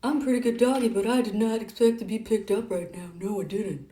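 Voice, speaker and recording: A friendly little pet dog in a home video, voiced by a woman, raised in pitch. The delivery is cutesy and playful, with a slightly put-out air. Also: a little fast, mellow, reverberant, a little hiss or hum, natural voice.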